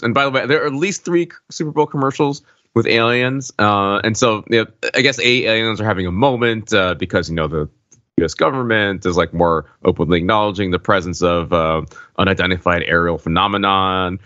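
Deeper-voiced guy on a podcast, halting and tongue in cheek, kinda muffled dry audio. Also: bright, forceful tone, dry recording. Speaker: podcast co-host. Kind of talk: casual panel chat.

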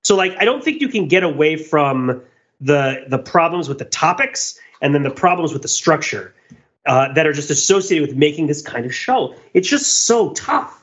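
A man talking casually as a podcast host, animated and rambling, often halting mid-thought. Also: on the high side, highs cut off. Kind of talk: casual discussion of old television.

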